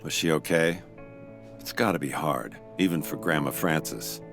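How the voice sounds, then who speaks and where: Male investigator-narrator for mystery audio drama: low voice, calm, steady, some guitar music behind. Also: measured pace, vocal fry, exaggerated voice. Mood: concerned.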